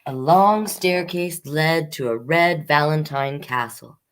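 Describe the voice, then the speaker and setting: Slow, low-voiced woman reading children's stories. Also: slight background noise. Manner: expressive, unhurried, comments on the story as she reads. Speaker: storytime host reading picture books aloud. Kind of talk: children's storytelling with chatty asides.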